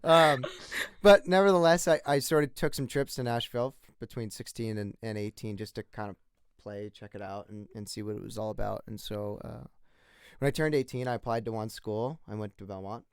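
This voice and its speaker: A man's voice: on the low side, brisk, clear, resonant tone, no echo, clean studio sound. A man in his twenties, a singer-songwriter guest from the northeastern US, telling his story in a rambling, casual way with many restarts. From northeastern United States. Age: 20s. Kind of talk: casual interview chat.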